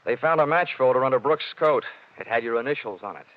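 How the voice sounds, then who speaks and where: An old, muffled radio recording carries an actor as a tough American male private investigator. He talks in a clipped, wisecracking way at a medium pitch. The style is hard-boiled 1940s radio drama, dry and sardonic. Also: fast, dry recording, lightly acted. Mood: pressing, hard.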